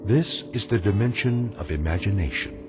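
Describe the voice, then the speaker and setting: Prominent music sits behind a solemn male storyteller of eerie radio tales. His low voice moves expressively up and down, measured and portentous. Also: expressive. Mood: solemn, ominous. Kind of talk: scripted radio drama narration. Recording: music bed behind narration.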